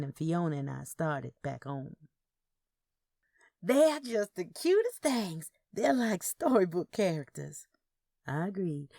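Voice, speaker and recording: A woman's voice: deep voice, vocal fry, mellow, no room sound, studio quality. A woman narrating a first-person story, with character dialogue acted out. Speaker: narrator of audio fiction.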